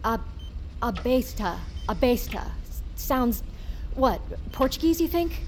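A woman's voice: fairly high pitch, lively intonation, takes it slowly, slight background noise. An actress playing an inquisitive, skeptical woman on a ship's crew, hesitant and questioning, in an audio drama. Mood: puzzled. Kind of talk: audio fiction acting.

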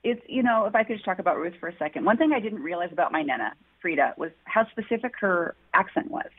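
Woman, author guest, earnest and heartfelt, husky tone, phone-line audio. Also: lowish voice, expressive, quick pace. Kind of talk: reflective interview answers.

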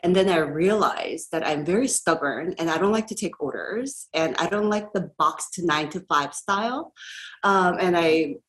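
A woman's voice: on the low side, lively intonation, normal speed. A woman, speaking as a guest in a casual podcast interview, chatty and rambling with frequent fillers and laughter. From South Korea. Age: 40s. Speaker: guest entrepreneur.

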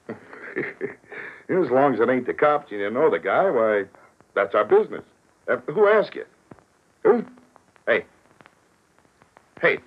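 An actor playing an uneasy, talkative henchman in a 1940s radio crime drama, husky and slangy. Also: mid-range voice, big pitch swings, slow, pauses often, full character voice. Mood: brisk, puzzled. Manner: slangy tough-guy talk, questioning. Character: uneasy male gangster henchman, dim, talkative, deferring to boss. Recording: muffled old radio recording.

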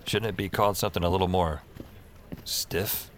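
A man's voice: low-pitched, steady tone, normal speed, a little hiss or hum, close to an everyday voice. An actor playing a wry, awkward man who takes calls, in slangy audio drama narration and dialogue. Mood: unsure, eager. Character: wry, awkward male call-taker new to a magical world.

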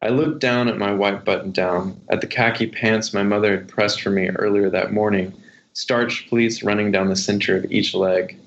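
A man's voice: fairly low pitch, steady tone, moderate pace, creaky. A man speaking as an author and guest, reading from a memoir or talking about writing. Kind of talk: memoir reading and literary interview talk. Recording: muffled, dull lo-fi sound.

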